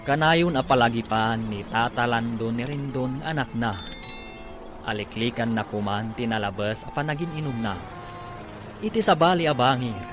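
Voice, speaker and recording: A man with an Ilocano Filipino accent delivering dramatic scripted narration as a radio drama announcer. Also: medium pitch.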